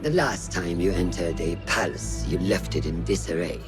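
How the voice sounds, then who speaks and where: A man's voice: sits low, normal speed, over music, lightly acted. A man playing a stern, seasoned master of assassins in a video game, authoritative and admonishing. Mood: firm. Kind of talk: dramatic video game acting.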